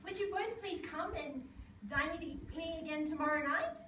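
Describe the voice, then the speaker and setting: A woman's voice: extremely high pitch, moderate pace, sharp tone. A woman voicing a sweet, polite queen in an amateur puppet show, dainty and sing-song. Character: sweet, polite young queen pleading for her people. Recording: distant, echoey, noisy live room.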